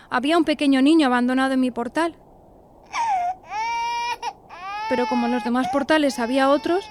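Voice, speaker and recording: Actress as high-voiced melodramatic young single lady. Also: slight background noise, natural voice. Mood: wistful. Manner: melodramatic, confiding, coquettish. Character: romantic, self-dramatising young single woman of the 1890s. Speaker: narrator and lead of a radio play. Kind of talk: theatrical first-person radio drama monologue.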